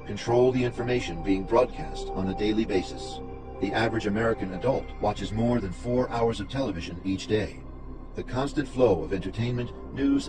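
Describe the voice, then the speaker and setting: A man reading scripted documentary narration in a measured, formal way. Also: on the low side, poor audio.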